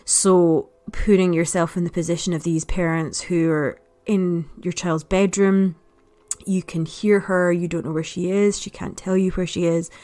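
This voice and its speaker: A woman's voice: fairly low pitch, level tone, normal speed, warm tone. An adult woman giving a casual spoken review as a podcast contributor, chatty, reflective and understated. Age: adult parent.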